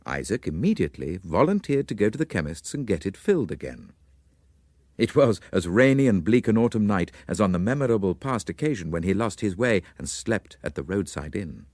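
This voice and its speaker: A man narrating a literary story in a dramatic, measured and formal manner. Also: fairly low pitch, sing-song, darkish tone, dry recording, studio quality.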